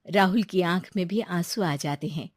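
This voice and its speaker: A woman's voice: lowish voice, normal speed, dry recording, clean studio sound. A woman reading a scripted story as its narrator.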